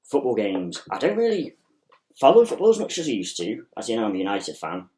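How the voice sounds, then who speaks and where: A young man hosts a podcast, asking questions in an easy, unhurried way. His voice sits very low. The room around him rings with a noticeable echo. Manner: relaxed, laid-back questioning. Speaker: podcast host. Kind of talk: casual chat. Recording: roomy echo.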